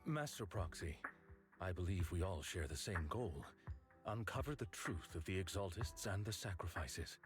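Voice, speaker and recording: An actor playing an earnest, courteous and remorseful male agent in a video game, speaking in a formal, measured way. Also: sits low, lively intonation. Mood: solemn. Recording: background music behind the voice.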